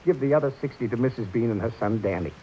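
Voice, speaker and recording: A man's voice: expressive, rapid-fire, dark tone, a little hiss or hum, lightly acted. A man playing a meek, guilty old horse trainer. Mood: pleading. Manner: earnest, pleading, jumpy pitch. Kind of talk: 1940s radio acting. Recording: dull lo-fi old radio broadcast.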